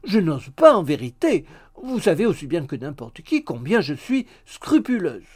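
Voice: medium pitch, big pitch swings, moderate pace, a little mellow, breathy edge, pitched above the performer's own voice. A reader voicing a coy, prudish spinster maid in a story, theatrically faint and scandalized.

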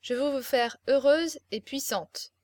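A woman reading a storybook, voicing a suave, composed nobleman with a soft, measured manner. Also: high-pitched, dry recording. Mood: gentle. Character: suave, cool, smiling nobleman prince.

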